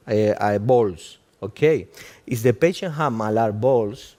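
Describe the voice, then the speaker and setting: A man with a Cuban Spanish accent walks listeners through a live treatment in halting, non-native English. He keeps checking in with "okay?" and drops in light jokes as he works. His voice sits at an ordinary middle pitch. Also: a little mellow. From Cuba. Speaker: aesthetic doctor giving a live demo. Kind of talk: live procedure demonstration.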